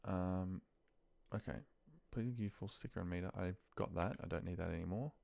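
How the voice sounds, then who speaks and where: A man's voice: low-pitched, moderate pace, gravelly, a little mellow, no echo. A man talking through a screen recording informally, thinking aloud and muttering to himself in an offhand way. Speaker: office worker recording a spreadsheet walkthrough.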